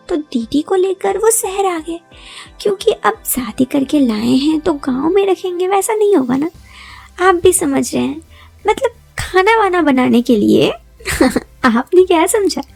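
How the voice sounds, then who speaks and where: A woman's voice: high voice, vocal fry, a little mellow. A young woman narrating a first-person story in a chatty, confiding way, often addressing listeners with rhetorical asides.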